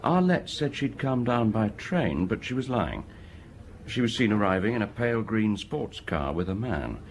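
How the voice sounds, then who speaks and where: An actor playing a diligent local police inspector who reports his findings in a brisk, matter-of-fact way in a radio drama. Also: on the low side, big pitch swings.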